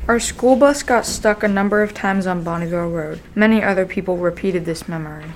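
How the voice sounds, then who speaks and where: A woman's voice: fairly low pitch, brisk. Teen girl reading aloud, faint hiss. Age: teenager. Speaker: student reader. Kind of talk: reading written memories aloud.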